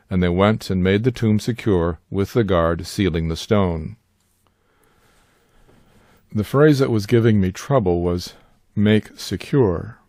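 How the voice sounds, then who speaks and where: An older man with a lowish voice reads a scripted Bible-study lesson in a calm, measured way. He slows right down to stress each key phrase. The sound is close and dry, with no room around it. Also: no room sound. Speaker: Bible teacher and sign language interpreter. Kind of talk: scripted instructional narration.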